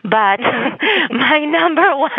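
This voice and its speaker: Spanish-accented woman therapist, gravelly, dry phone-quality audio. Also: high voice, lively intonation, very slow, dry recording. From Spain. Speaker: expressive arts therapist. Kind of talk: podcast interview.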